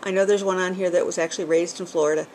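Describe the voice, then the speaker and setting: A woman's voice: fairly low pitch, fairly even intonation, very fast, a little mellow, slight background noise, low-quality recording. Chatty middle-aged New England woman. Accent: New England, with some Southern. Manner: chatty, rambling, with frequent ums and small laughs. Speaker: vlogger. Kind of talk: casual chat. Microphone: camcorder built-in mic.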